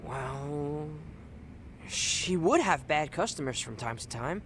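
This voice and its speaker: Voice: mid-range voice, lively intonation, slow pace, noisy background. An actor playing a cheeky but earnest teenage boy in a video game dub, talking in offhand, youthful slang. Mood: earnest, worried.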